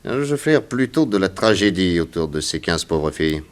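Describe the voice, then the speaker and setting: Composed low-voiced male detective. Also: sing-song. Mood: businesslike, pensive. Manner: measured, probing questioner. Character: composed, methodical male police detective on a case. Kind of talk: 1960s French radio detective serial acting.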